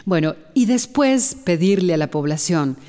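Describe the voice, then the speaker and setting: A woman playing a calm, mellow doctor. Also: on the low side, long reverb tail, close to an everyday voice. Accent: Costa Rican. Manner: calm, measured advice. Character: female head doctor of emergency committee. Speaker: emergency committee doctor. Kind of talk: radio drama acting.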